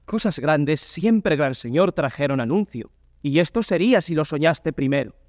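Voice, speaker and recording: Loyal rebel soldier played by a man, high dry voice, fervent and proclamatory classical verse. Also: animated, moderate pace, a little mellow, dry recording, natural voice. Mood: earnest, reverent. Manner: heraldic, urgent, emphatic. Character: fervent rebel soldier rallying people to their prince. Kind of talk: classical Spanish verse drama, declaimed.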